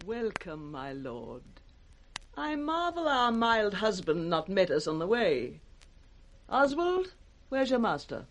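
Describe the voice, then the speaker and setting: Scornful Goneril, swooping theatrical British delivery. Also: female voice, medium pitch, sing-song, slow pace. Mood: imperious. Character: Goneril, scornful, commanding, scheming married noblewoman. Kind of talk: 1960s British radio Shakespeare acting.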